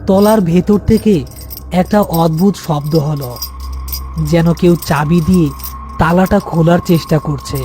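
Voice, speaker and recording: High-pitched male horror story narrator. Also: a little slow, warm tone, a little hiss or hum.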